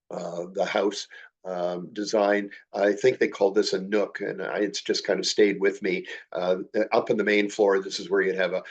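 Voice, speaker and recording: Older guy with a stuffy cold, lowish voice, slow with lots of ums, muffled lo-fi audio. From Canada. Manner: measured, frequent uh and um fillers. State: congested from a cold, slightly nasal. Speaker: model railroad clinic presenter. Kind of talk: slideshow clinic talk.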